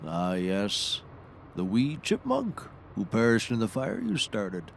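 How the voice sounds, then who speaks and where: Guy acting as a low deadpan woodsy schemer in an audio comedy, some noise and birds behind. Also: takes it slowly, vocal fry, full character voice. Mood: dry, wry.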